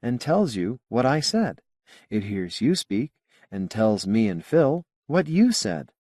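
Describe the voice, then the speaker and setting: Man reading as a measured, self-assured woman scientist. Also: medium pitch, big pitch swings, darkish tone, no room sound, studio quality, pitched above the performer's own voice, exaggerated voice. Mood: patient, explaining.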